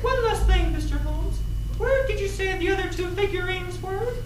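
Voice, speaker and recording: A melodramatic lady in distress acted by a woman, pitched extremely high and pleading grandly in a stage farce. The recording is roomy, with clear noise. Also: animated, moderate pace. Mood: gracious. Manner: theatrical, tearful pleading, grandly dramatic. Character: melodramatic pleading lady, actually a disguised male villain. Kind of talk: stage comedy readers theatre.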